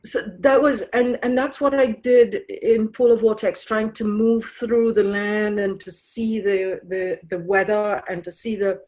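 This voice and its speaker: A woman's voice: medium pitch, fairly even intonation, a little slow, few pauses, a little mellow, rough recording. A middle-aged woman from Trinidad, speaking reflectively in a podcast interview with frequent fillers and restarts. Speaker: guest author.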